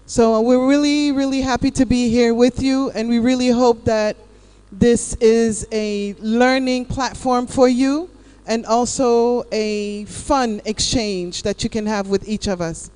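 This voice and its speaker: A man introducing himself and his nonprofit at a live event, measured and pausing often. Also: very high-pitched, steady tone, a little mellow, clear, resonant tone. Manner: slow, measured, with frequent "uh" pauses. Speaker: nonprofit representative. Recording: long reverb in a hall.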